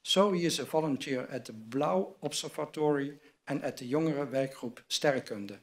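An older man in a large wood-panelled hall, reading formal award citations from notes at a lectern microphone. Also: mid-range voice. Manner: measured, reads from a script at a lectern. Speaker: award presenter at a university ceremony. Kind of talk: formal ceremony address read from notes.

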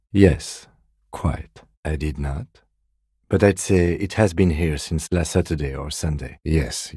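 A calm, restrained male police detective from a role-playing game. His voice is very low, soft-edged and husky. He speaks precisely and drily, recorded close with no room sound. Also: no echo. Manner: measured, restrained, precise, deadpan. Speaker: video game voice actor.

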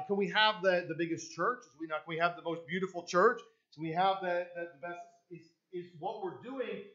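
A man's voice: sits high, a bit bright, highs cut off. An older Canadian man preaching a sermon in a church sanctuary, talking conversationally with restarts and frequent "right?" asks, pacing around a podium microphone. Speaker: pastor. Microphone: podium mic, speaker often walking away from it.

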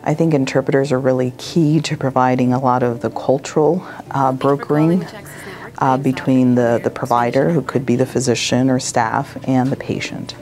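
Very low-pitched, mellow middle-aged woman. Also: fairly even intonation, normal speed, faint music behind. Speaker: interpreter services manager. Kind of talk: documentary interview. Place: office indoors.

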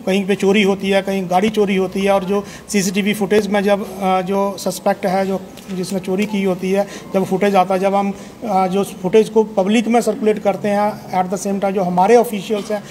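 A middle-aged man, a police officer, addresses reporters in a measured, formal way, pausing often on fillers. His voice sits high and stays flat in melody. He is picked up by a bank of press microphones in an indoor room with faint background noise. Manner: measured, hesitant with frequent fillers, formal appeal. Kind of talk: press conference statement. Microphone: table of press microphones.

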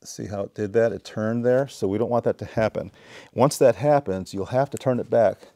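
A middle-aged man giving a casual, deliberate, step-by-step hands-on repair tutorial. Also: lowish voice, dark tone. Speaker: DIY repair demonstrator. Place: indoor home workshop.

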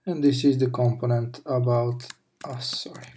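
A man talking through a coding tutorial over a screen recording, explaining step by step with pauses and self-corrections. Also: mid-range voice, fairly even intonation, dark tone, slight background noise. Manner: slow, halting explanation with fillers and self-corrections. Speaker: programming tutor.

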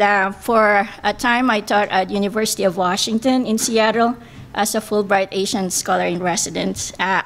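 A woman's voice: medium pitch, slight background noise, rough recording. A middle-aged woman from the Philippines with a Filipino accent, speaking English as a second language in an earnest, careful candidate speech at a podium mic in a banquet room. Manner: earnest, careful, with hesitant fillers. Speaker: political candidate. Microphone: podium microphone.

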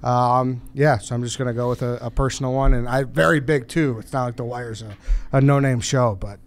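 A man's voice: mid-range voice, steady tone, brisk. A man co-hosting a casual podcast in a studio, rambling as he thinks aloud. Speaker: podcast co-host.

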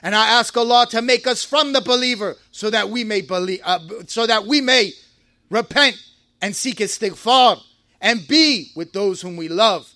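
A man giving a Friday sermon in a mosque, preaching with passion, rising to shouts and reciting Arabic verses. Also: high-pitched, normal speed, no echo, studio quality.

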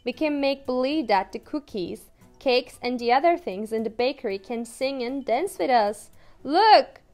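Slow, sing-song Turkish-accented teacher woman. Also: high voice, lively intonation, darkish tone, soft background music. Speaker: English teacher. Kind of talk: reading a picture book aloud to toddlers. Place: small room indoors.

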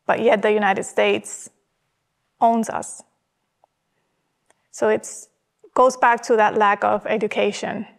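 Female podcast guest from Puerto Rico, emphatic, fry in the voice, studio interview. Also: mid-range voice, fairly even intonation, slow, long pauses. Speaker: podcast guest, gallerist and artist. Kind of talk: earnest conversation. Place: studio set.

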